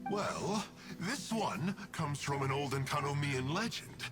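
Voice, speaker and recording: A man's voice: on the high side, sing-song, measured pace. A smug, teasing game villain with a lightly raised voice that swings theatrically up and down. He drawls with mocking casualness over prominent music. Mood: sly storytelling. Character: smug, teasing villain, a cunning man of the darkness. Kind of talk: English video game voice acting.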